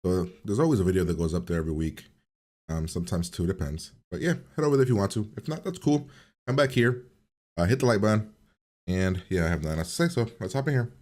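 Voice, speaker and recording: A man with a cold and a slightly nasal, very deep voice, rambling casually as he hosts a video reaction. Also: animated, fast talker, a little mellow. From upstate New York. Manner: rambling, easygoing, with filler words. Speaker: reaction channel host.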